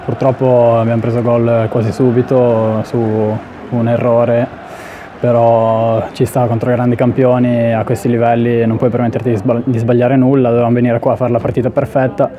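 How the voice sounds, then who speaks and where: A man's voice: medium pitch, level tone, normal speed. A man in his twenties, a footballer answering questions in a post-match interview. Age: 20s. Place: indoor press area in front of sponsor backdrop. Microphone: handheld interview mic. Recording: slightly dull, noisy interview audio.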